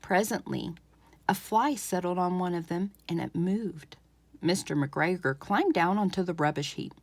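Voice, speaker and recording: A woman reading a children's storybook aloud as a library storyteller, with expressive, dramatic emphasis. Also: fairly low pitch, unhurried, dry recording.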